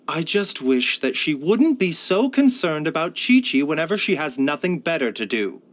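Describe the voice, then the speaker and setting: A man voicing a courteous, wry pharmacist and doctor in a video game, speaking in measured, formal phrases. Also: a little high, big pitch swings, a bit bright. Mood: wry, weary. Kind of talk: scripted video game character voice lines.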